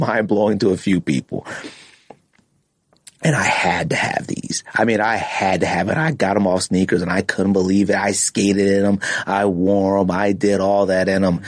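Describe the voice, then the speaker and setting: Animated, blunt man, dry close sound. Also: on the low side, no echo. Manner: blunt, opinionated, emphatic, slangy. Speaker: podcast host. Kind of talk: casual sneaker countdown chat.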